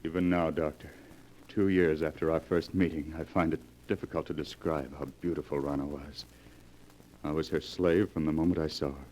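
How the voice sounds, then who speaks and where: An actor playing a tormented, exasperated husband in a 1940s radio melodrama, brooding and earnest. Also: male voice, a bass voice, flat delivery, moderate pace, darkish tone. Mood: haunted, brooding. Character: tormented, exasperated husband recounting his nightmare marriage. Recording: noisy vintage 1940s radio recording.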